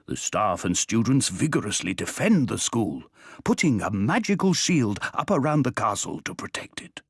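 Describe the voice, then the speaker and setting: A man voicing the dramatic storyteller of a fantasy video game, reading scripted narration in a measured way. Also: on the low side, very animated, husky, no echo, heavily acted.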